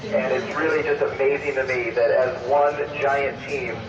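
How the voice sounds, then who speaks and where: A man speaking as an astronaut over amateur radio, explaining things in an upbeat, slightly halting way. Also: mid-range voice, steady tone, crowd noise. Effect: radio transmission. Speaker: astronaut answering questions by radio. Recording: noisy, echoey, dull amateur radio link, distant.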